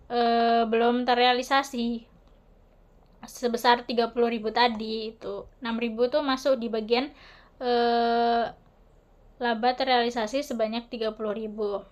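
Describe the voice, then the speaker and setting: A young adult woman giving an explanatory class presentation as a student, with a halting, hesitant delivery. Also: a little high, steady tone.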